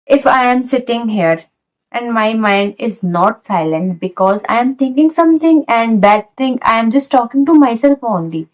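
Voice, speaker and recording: Young lady, hindi speaker, casual tips, kinda high voice, talks loose and repeats herself a lot. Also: expressive, moderate pace, rough recording. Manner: chatty, informal, repeats phrases like "I am just". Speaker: English tutor. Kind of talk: casual spoken lesson.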